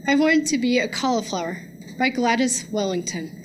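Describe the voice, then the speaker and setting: A woman reciting a poem in a measured way at a live reading. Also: fairly high pitch, animated, noisy background. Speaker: guest poetry reader.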